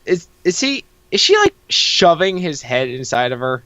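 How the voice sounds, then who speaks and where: A man's voice: on the high side, sing-song, moderate pace, sharp tone, no room sound, muffled recording. A man co-hosting a comic read-along, giving casual, mocking, profane commentary with an incredulous manner. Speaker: co-host reading a comic aloud.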